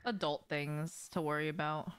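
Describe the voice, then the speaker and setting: A woman's voice: deep voice, fairly even intonation, moderate pace, clean tone. A young adult woman casually chatting to viewers as she streams a game, offhand and sweary, often asking questions. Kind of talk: casual chat with viewers while gaming. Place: small room at home, indoors.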